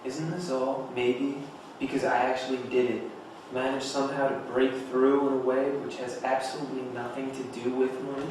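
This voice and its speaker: A man's voice: medium pitch, flat delivery, brisk, natural voice. A man playing a proud, placating writer justifying himself in a dramatic script reading. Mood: defensive. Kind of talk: dramatic table read. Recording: very echoey, distant mic, noisy room.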